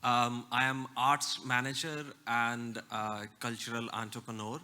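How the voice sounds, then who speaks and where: A man's voice: medium pitch, level tone, measured pace, sharp tone, smooth. A man from Pakistan, an arts manager, introducing himself hesitantly on a panel. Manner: hesitant, filler-heavy.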